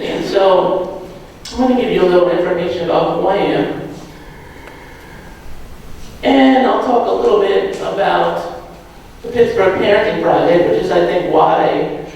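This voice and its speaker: A man in his thirties from Providence, slightly hoarse, giving a joking, self-deprecating public lecture full of fillers. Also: high voice, a little mellow, slight background noise. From Providence, Rhode Island. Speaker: guest speaker. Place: large hall or auditorium. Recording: echoey hall, mic from far off.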